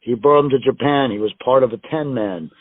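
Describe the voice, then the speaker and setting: A man's voice: medium pitch, a little fast. American guy rambling thru wrestling stories, laughs at himself a lot, tinny phone sound. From United States. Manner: rambling, self-deprecating, laughs often. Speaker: wrestler guest. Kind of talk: casual interview storytelling. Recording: tinny dry phone line.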